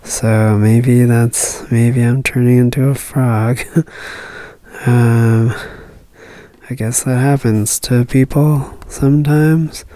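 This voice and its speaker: A radio host signing off a show late at night, hoarse and losing their voice, rambling and self-deprecating as they trail off. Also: medium pitch, steady tone, slow pace, mellow, no room sound. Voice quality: froggy.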